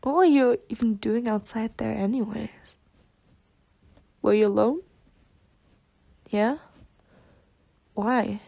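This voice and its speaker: Protective twin sister for ASMR roleplay, played by a woman: sweary, exasperated yet caring, unhurried, fairly high voice. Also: creaky, dark tone, no room sound, heavily acted. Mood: tender, amused. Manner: sweary, sighing, half-muttering. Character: protective, exasperated, foul-mouthed twin sister, tender toward her girlfriend. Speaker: roleplay voice actor.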